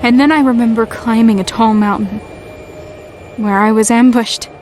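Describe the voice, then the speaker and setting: A woman's voice: on the high side, steady tone, normal speed, a little hiss or hum, natural voice. A woman playing a weary, determined young heroine, telling her fairy tale with earnest sorrow. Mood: sorrowful, earnest. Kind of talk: dramatic first-person fairy-tale storytelling. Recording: loud music under the voice.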